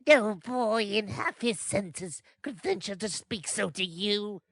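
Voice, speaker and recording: A fretful, scolding wife from an old storybook. The voice is high and swoops dramatically, with drawn-out, exclamatory delivery. It sounds dry and close. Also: male voice, animated, a bit bright, heavily acted. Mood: flustered, alarmed. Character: shrill, flustered, scolding undertaker's wife.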